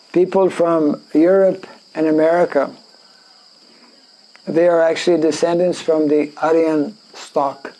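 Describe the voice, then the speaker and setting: A man with a French Canadian accent, a native French speaker from Canada, reading and explaining scripture in a class, halting with fillers and frequent throat clearing. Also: on the high side, fairly even intonation, mellow, poor audio. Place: indoor class in a rural town.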